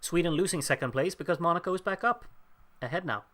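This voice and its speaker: A man's voice: on the high side, quick pace, a bit bright. Chatty man commentating a live watchalong. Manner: chatty, wry, reading out viewer names and laughing at himself. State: losing his voice. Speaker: livestream host. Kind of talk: casual live commentary on scoreboard voting.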